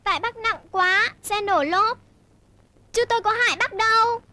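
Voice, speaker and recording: An actress playing a small, timid, quick-witted young rabbit in a cartoon, earnest and pleading. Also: female voice, extremely high pitch, pushed and bright, no room sound. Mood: meek. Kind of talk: cartoon voice acting.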